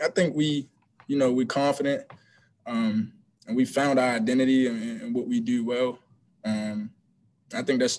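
A young man, a college basketball player, answering press questions after a game over a video call, relaxed and hesitant with many ums and restarts. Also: medium pitch, a little hiss or hum. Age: young adult. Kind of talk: postgame press conference answers. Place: small room before a team backdrop. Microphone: video call webcam.

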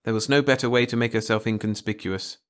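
A man's voice: medium pitch, fairly even intonation, quick pace, a bit bright, clear, resonant tone. A man reading a scripted short story as an audiobook narrator.

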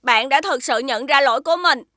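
A woman voicing a magical boy friend who lives in a spaceship, calm and sensible with a gently scolding manner, in a dubbed cartoon. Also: sits high, brisk, no echo, studio quality. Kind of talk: cartoon dubbing.

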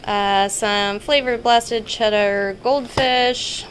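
A woman's voice: a little high, fairly even intonation, extremely slow, a bit bright, a little hiss or hum, low-quality recording. A woman in her thirties hosting a vlog, talking casually and conversationally as she lists things in a home kitchen. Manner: informal, conversational, listing items. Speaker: vlog host. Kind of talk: casual chatty grocery haul narration.

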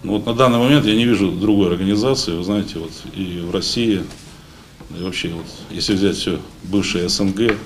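A man in his forties giving a halting, informal speech at a meeting, pausing often. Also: sits low. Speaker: paratrooper unit member addressing a meeting. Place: meeting hall at a lectern. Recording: noisy room recording.

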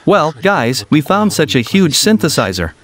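A man's voice: fairly high pitch, very animated, moderate pace, slight background noise. A man dubbing an adventurous male urban explorer who hosts a vlog. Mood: explanatory. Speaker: vlog host.

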